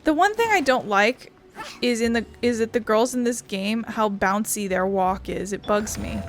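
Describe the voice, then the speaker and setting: A woman's voice: on the high side, moderate pace, slight background noise. A woman giving casual let's-play commentary in a rambling, playful, self-deprecating way. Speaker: gameplay streamer.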